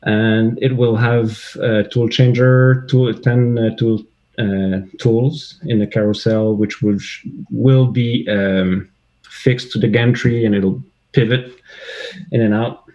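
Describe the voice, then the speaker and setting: A man's voice: lowish voice, steady tone, takes it slowly, dark tone. French-accented man on a lo-fi call. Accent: Québécois French. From Quebec, Canada. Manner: hesitant, frequent fillers while searching for words. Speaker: guest, hobbyist maker. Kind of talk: casual video-call chat. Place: home room with couch and shelves. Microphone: video call. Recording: dull lo-fi video call audio.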